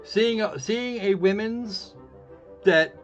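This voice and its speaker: A man's voice: high voice, extremely slow. A man streaming a visual novel, chatting casually and sarcastically, often restarting his sentences. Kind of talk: casual livestream commentary. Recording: dull lo-fi stream mic with game music behind. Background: faint game music.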